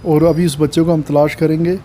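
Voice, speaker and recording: A man's voice: on the high side, flat delivery, a little fast, warm tone, slight background noise, close to an everyday voice. A man voicing a threatening, taunting policeman in an amateur cartoon. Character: threatening, gloating policeman hunting down a violent boy. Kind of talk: amateur cartoon voice acting in Urdu.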